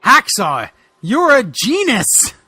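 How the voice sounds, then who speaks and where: A man playing a haunted, urgent bogeyman wrestler in a hammy, halting comic reading. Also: very high voice, huge pitch swings, pushed and bright, breathy edge, dry recording, pitched above the performer's own voice, heavily acted. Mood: urgent, troubled.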